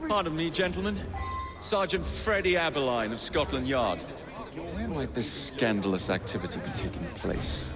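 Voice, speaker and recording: Casting: confident young English rogue, wry charmer, lively delivery over music, period video game. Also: male voice, on the high side, moderate pace. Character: cheeky, confident young Victorian rogue gentleman.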